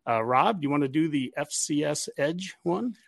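A man's voice: a little high, lively intonation, moderate pace, vocal fry, no room sound, clean studio sound. An American man hosting a casual sports discussion, talking in an emphatic, opinionated way. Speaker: podcast host.

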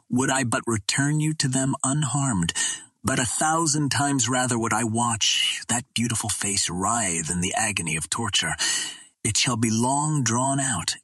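A man's voice: mid-range voice, a bit bright, husky, dry recording. A man voicing a huge, cruel alien warlord in an audiobook, with pompous, menacing, formal speech. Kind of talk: audiobook character reading.

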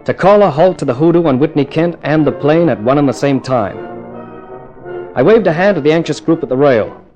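A man's voice: on the high side, lively intonation, fairly quick, darkish tone, lo-fi sound, lightly acted. Cool, wry 1950s radio test pilot, played by a man. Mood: tense, wry narration. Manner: dramatic, clipped, wry storytelling. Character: cool, wry male jet test pilot narrating his adventure. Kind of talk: 1950s radio thriller narration and acting. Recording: old radio drama with music underneath.

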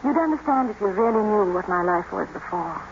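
A woman's voice: mid-range voice, lively intonation, brisk, natural voice. Casting: actress for impassioned young lady of the manor, haunted and earnest, mid-century radio drama, dull noisy broadcast sound. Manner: theatrical, intense. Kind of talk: 1940s-50s radio drama acting.

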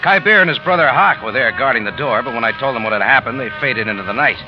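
An actor playing a world-weary, tough American adventurer in a clipped, hard-boiled 1940s radio drama manner. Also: male voice, medium pitch, fast, natural voice. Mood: terse, matter-of-fact. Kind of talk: 1940s radio drama acting, first-person narration and dialogue. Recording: muffled vintage radio recording with music behind.

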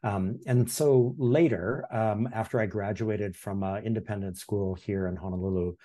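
Mellow low-voiced man in his sixties. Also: moderate pace. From Hawaii. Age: 60s. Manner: relaxed, reflective, with frequent ums and restarts. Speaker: podcast guest, former history teacher. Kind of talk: casual interview storytelling.

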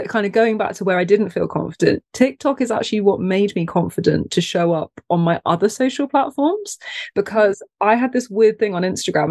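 A woman's voice: mid-range voice, no room sound. Podcast guest, woman: soothing voice, self-deprecating, laughs easily, dry close mic. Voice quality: soft, soothing voice. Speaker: guest, content creator. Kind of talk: casual podcast conversation.

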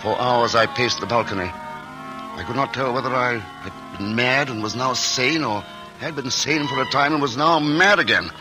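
A man's voice: mid-range voice, close to an everyday voice, poor audio. A man playing a weary, earnest explorer. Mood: reflective. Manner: earnest, measured first-person storytelling. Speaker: narrator and lead character. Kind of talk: 1940s radio acting. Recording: old radio broadcast with music underscore.

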